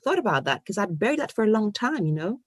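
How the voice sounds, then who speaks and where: A woman from Ghana in her forties, an online course coach telling her story in an animated, casual way with restarts and fillers. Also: mid-range voice, quick pace, breathy edge, no room sound, clean studio sound. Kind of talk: casual interview chat.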